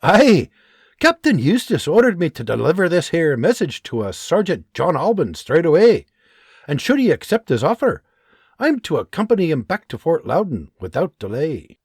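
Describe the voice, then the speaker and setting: A man voicing a young, dutiful Scottish soldier with a husky, formal way of speaking, as an audiobook character. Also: on the high side, huge pitch swings, normal speed, dry recording, studio quality, voice pushed up, exaggerated voice.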